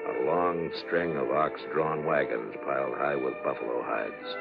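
An American actor playing a steady, laconic Old West marshal in 1950s radio western style. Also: male voice, very deep voice, unhurried, gravelly, over music, heavily acted. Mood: calm, narrating. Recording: tinny 1950s radio recording.

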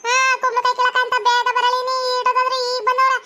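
A woman's voice: very high-pitched, completely flat delivery, fast, bright, forceful tone. A woman voicing a bossy, impatient female farm owner in a village cartoon, repeating orders insistently in a North Karnataka accent. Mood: impatient, scolding.

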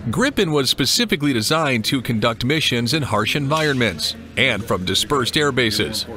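A man reading a scripted documentary narration in an even, measured way. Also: mid-range voice. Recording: voice-over with clear music behind.

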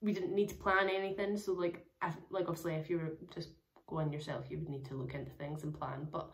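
A young woman talks casually to a camera in a small room at home. Her voice sits a little low for a woman and sounds hoarse and crackly. She rambles along with plenty of "like" and "um.". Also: steady tone, brisk. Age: young adult. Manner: chatty, rambling, many fillers. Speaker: travel vlogger.

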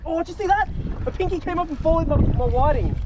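A man's voice: very high-pitched, lively intonation, fast, dull audio. A man chatting casually while fishing outdoors on a small boat. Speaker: recreational angler. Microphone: action camera on the boat. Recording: very loud wind and boat noise.

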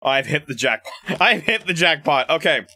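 Lively, bright-voiced male streamer. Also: fairly high pitch, moderate pace, a little hiss or hum. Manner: animated, laughs often, stumbles when reading aloud. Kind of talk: casual live-stream chat with viewers.

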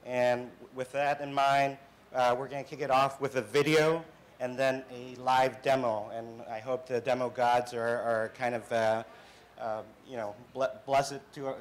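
A man giving a casual, slightly halting conference talk on stage in a large hall. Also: mid-range voice, a little hiss or hum. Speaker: technical presenter.

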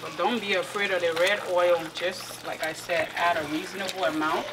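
A woman from Liberia giving a relaxed, step-by-step home cooking demonstration with light laughs. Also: fairly low pitch, moderate pace. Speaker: cooking vlogger. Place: home kitchen. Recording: clear kitchen cooking noise. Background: frying and sizzling food, stirring.

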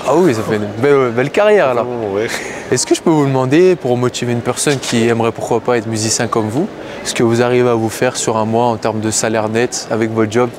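A man's voice: medium pitch, fairly quick. A middle-aged man from Switzerland answering street interview questions in a laid-back way, with short replies that trail off. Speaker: musician and fitness coach, interviewee. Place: city street outdoors. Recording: loud street noise.

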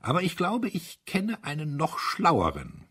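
A man's voice: on the high side, huge pitch swings, unhurried, pushed and bright, husky, pitched above the performer's own voice, exaggerated voice. A man voicing a grim old army colonel in an audiobook, blunt and probing. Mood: gruffly admiring. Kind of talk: audiobook character acting.

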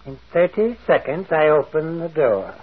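An actor playing a stolid, self-assured vault keeper in an old radio drama, clipped and matter-of-fact. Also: male voice, a little high, very animated, moderate pace. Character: terse, stolid male vault keeper, sure of himself. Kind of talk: 1940s radio acting. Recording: muffled, phone-like old radio recording.

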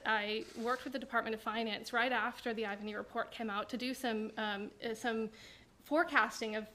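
Woman, 30s, squeaky, self-deprecating panel speaker at a podium mic. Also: bright, forceful tone. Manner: chatty, self-deprecating, frequent ums. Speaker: provincial immigration director. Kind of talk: panel presentation. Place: university lecture room. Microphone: podium microphone.

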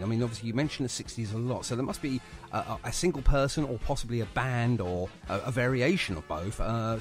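A man hosting a radio interview, chatting agreeably as a mobile DJ and presenter would. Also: mid-range voice. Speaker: radio show host and mobile DJ.

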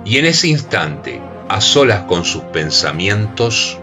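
A man narrating a radio drama in a grave, expressive storytelling voice. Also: on the low side, slow pace. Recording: music bed under voice.

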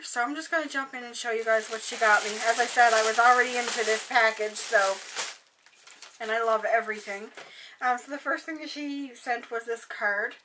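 A young woman with a hoarse, tired voice chatting casually to camera in her bedroom, rambling with lots of fillers. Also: a little high, bright, forceful tone, recorded from a distance, a little hiss or hum, poor audio. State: hoarse, voice going. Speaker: beauty vlogger. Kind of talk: casual haul chat to camera. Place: bedroom, indoors. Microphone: webcam at desk distance.

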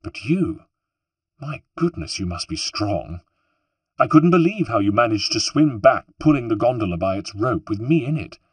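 A man's voice: lowish voice, big pitch swings, moderate pace, breathy edge, no room sound. A man voicing a spirited, imperious younger noblewoman in a storybook, scolding and commanding. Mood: admiring.

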